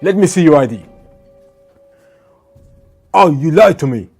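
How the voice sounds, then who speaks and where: A man's voice: on the high side, expressive, measured pace, a little mellow, soft background music, voice pushed up. A man voicing a tense female police officer who keeps pressing the same accusation as he retells an encounter. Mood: accusing. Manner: insistent, repeating accusations.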